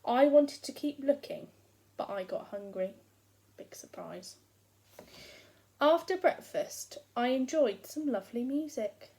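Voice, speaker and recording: A woman's voice: high-pitched, expressive, slow, pauses often, mellow, full character voice. A woman voicing a huge, hungry, self-pitying giant who complains with sarcastic emphasis as he tells his own storybook tale. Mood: rueful. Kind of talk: first-person storybook narration read aloud.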